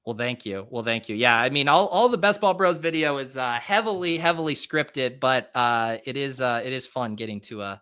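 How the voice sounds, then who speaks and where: Bright, nasal man streaming a draft. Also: medium pitch, smooth. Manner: rapid, chatty thinking aloud with many fillers. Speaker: fantasy football draft streamer and host. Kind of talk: casual live-stream chat while drafting.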